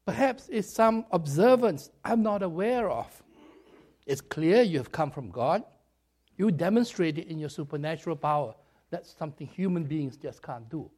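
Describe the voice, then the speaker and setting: Earnest old-time rabbi asking questions, a preacher guy putting him on, bit raspy, halting. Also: a little high, animated, darkish tone. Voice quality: slightly raspy. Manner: searching, halting. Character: earnest, respectful male rabbi seeking answers.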